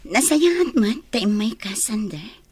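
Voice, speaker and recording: A woman's voice: medium pitch, very animated, slow pace, darkish tone, husky, no room sound, full character voice. A woman playing a frank young bride-to-be in an Ilocano radio drama. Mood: firm, dismissive. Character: frank young bride-to-be who rejects an unwanted suitor.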